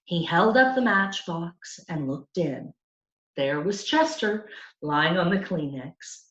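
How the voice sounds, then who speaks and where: Lady reading a kids book out loud, lowish voice, really animated, bit dull echoey home recording. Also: slow pace. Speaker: storyteller reading a children's novel aloud. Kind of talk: expressive scripted audiobook narration for children.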